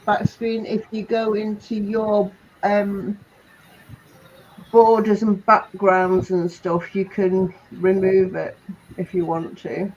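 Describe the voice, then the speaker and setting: A woman's voice: medium pitch, level tone, takes it slowly, darkish tone, highs cut off, slight background noise. A woman from England with a Northwest English accent, chatting easily on a video call. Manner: easygoing, laughs often. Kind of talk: casual video chat.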